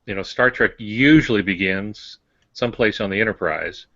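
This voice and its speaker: Dull-sounding, low-voiced man, halting and explanatory. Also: bright, forceful tone, no room sound. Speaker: fiction writer, podcast panelist. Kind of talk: casual talk on writing craft.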